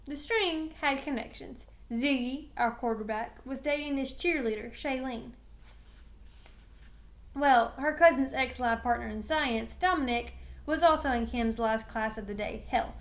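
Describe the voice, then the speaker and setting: A woman's voice: high-pitched, a little slow. A woman reading a children's novel aloud with expression, doing the narration in a storytelling style. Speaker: teacher reading a book aloud.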